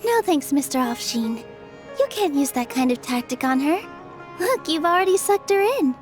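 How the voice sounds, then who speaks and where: Gentle, high-voiced young performer. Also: female voice, very animated, normal speed, over music. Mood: mild, cautioning. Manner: polite, gentle, considerate. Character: gentle, kind young woman theatre dancer. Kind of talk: English anime game dub acting.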